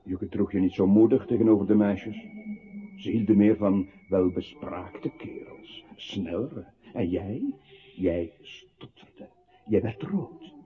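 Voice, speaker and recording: An actor playing a cool, pointed interrogator who analyses and taunts a captive in a radio play. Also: male voice, fairly low pitch, slow. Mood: cool, mocking. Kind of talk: radio play, second-person narration. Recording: tinny, narrow old radio recording with hiss.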